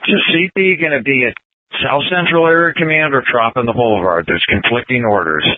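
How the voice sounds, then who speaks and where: A man's voice: medium pitch, brisk. A male police officer coordinating and giving procedural directions over a police radio. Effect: police radio transmission. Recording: narrow tinny police scanner radio audio.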